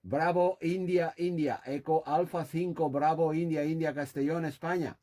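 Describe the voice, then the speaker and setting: Higher-pitched Spanish man calling on ham radio. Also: fairly even intonation, moderate pace, mellow. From Spain. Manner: repeats words and callsigns. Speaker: amateur radio operator.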